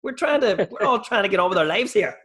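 A man with a Northern Irish accent in casual chat, animated and sweary as he tells stories. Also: high voice, fast talker. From Northern Ireland, near South Armagh.

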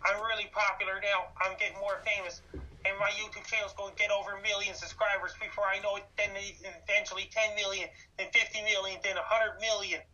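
A man's voice: high-pitched, level tone, brisk, bright, forceful tone, distant mic, lo-fi sound. A man in his thirties from Canada, answering interview questions by listing facts and dates over and over. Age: 30s. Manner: repetitive, lists facts and dates. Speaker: guest, YouTuber.

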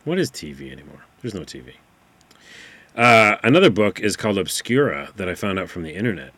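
Deep-voiced chatty podcast host. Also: male voice, fairly quick, no room sound. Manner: chatty, excitable, reads book blurbs aloud. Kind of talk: casual podcast chat. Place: studio room, sitting back from the mic. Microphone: far from the microphone.

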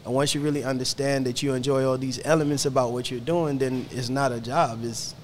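A man's voice: medium pitch, steady tone, a little fast, a little hiss or hum. Reflective man who grew up in Ghana. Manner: reflective, tags lines with "you know what I mean". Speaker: guest, fashion creative. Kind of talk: casual podcast conversation. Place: indoor studio.

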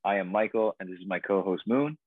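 A man hosting a casual podcast, introducing a guest in a relaxed way with frequent ums. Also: sits low, quick pace, no room sound. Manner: relaxed, lots of ums and fillers. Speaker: podcast host. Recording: tinny phone-like dry audio.

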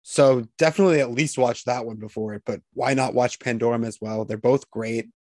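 A man hosts an interview podcast. His voice sits at an average male pitch, and the sound is dry, with hardly any room on it. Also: fairly even intonation, fairly quick, darkish tone, no echo. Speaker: podcast host.

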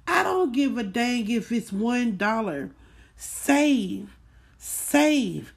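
A woman's voice: fairly high pitch, sing-song, extremely slow, gravelly. A woman hosts a casual book club podcast, talking in a folksy, emphatic way and repeating key words for stress. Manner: folksy, addresses listeners as y'all. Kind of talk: casual chat, recapping a book.

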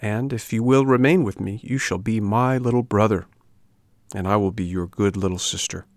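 A man's voice: on the low side, normal speed, darkish tone, dry recording, close to an everyday voice. A man voicing a kindly, reassuring female fairy in a storybook reading. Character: kindly, motherly female fairy, gently cautioning.

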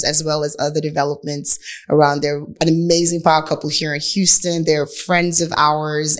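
A woman co-hosting a podcast in casual conversation, with a candid, playful and teasing manner. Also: sits low. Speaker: podcast co-host. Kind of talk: casual couples chat.

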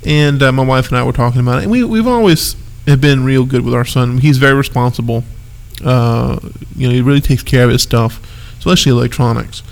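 Guy, kinda gravelly, stuffed up nose, rambling podcast chat, laughs a lot. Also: mid-range voice, fairly quick, hardly any pauses. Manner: easygoing, laughs often, rambling asides. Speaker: podcast co-host. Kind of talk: casual chat.

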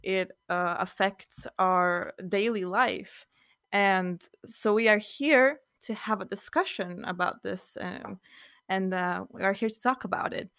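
Young female podcast host, easygoing, smooth tone, dry close sound. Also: mid-range voice, takes it slowly, fairly bright tone, no echo. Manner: friendly, loosely improvised with fillers. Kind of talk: casual podcast chat.

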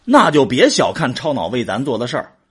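A man acting a boastful, easily exasperated drinking buddy in a sci-fi radio play. His voice is very husky and rough, and it swings widely up and down as he grumbles and defends himself. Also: mid-range voice, huge pitch swings, fairly quick, full character voice. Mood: exasperated, defensive. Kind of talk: Chinese sci-fi audio drama dialogue.

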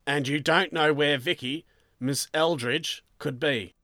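A man voicing a stern, suspicious middle-aged police sergeant in a story, interrogating forcefully. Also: a little high, measured pace, no echo, studio quality, voice pushed up. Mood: menacing, probing.

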